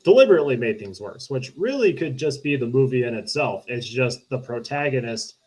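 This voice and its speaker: A man's voice: mid-range voice, animated, brisk, a little mellow, husky. A man co-hosting a podcast, casually arguing his opinions on a film in a reasoned way. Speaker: podcast co-host. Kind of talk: casual film review chat.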